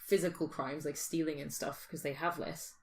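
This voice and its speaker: A woman's voice: low voice, fairly even intonation, normal speed. A British woman in casual conversation, musing informally and thinking aloud as a podcast host. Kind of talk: casual speculative chat.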